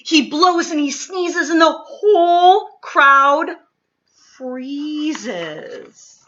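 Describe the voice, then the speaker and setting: A woman's voice: high voice, animated, very slow, fairly bright tone, highs cut off. A woman reading a children's picture book aloud expressively, dropping to whispers at times. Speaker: storybook reader.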